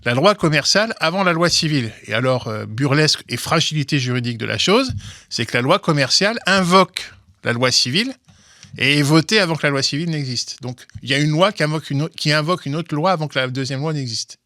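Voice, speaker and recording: A man explaining legal history in an interview, talking haltingly with many restarts and repeated words. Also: a little high, lively intonation, sharp tone, no echo, studio quality. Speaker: guest, legal commentator.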